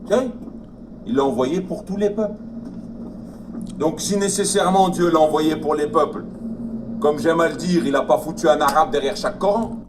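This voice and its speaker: A man's voice: a little high, normal speed, long pauses. A man explaining his view in a casual, hesitant way with many fillers. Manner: conversational, hesitant, lots of fillers. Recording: distant mic with ambient noise.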